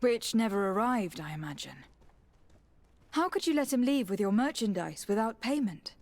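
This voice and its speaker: A woman's voice: medium pitch, big pitch swings, normal speed, darkish tone, no room sound. An actress playing a composed, diplomatic noblewoman envoy in a video game, formal and polite. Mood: calm, probing. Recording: dry voice, faint game music. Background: faint music.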